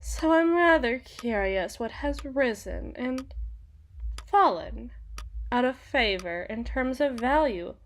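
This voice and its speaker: Slow-talking, sadistic demoness, acted by a woman. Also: on the high side, expressive, a little hiss or hum. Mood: musing, sly. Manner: theatrical, taunting, deliberate phrasing. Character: sadistic, teasing female demon bargaining for souls. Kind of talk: ASMR roleplay monologue.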